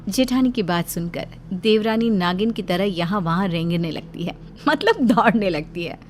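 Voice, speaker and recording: A woman's voice: medium pitch, animated, moderate pace, a little hiss or hum. A woman narrating a scripted cartoon story.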